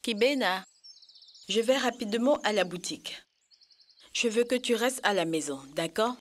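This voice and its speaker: A woman's voice: mid-range voice, lively intonation, normal speed, a little hiss or hum, close to an everyday voice. A woman playing a caring but firm grandmother giving instructions in a children's cartoon dub.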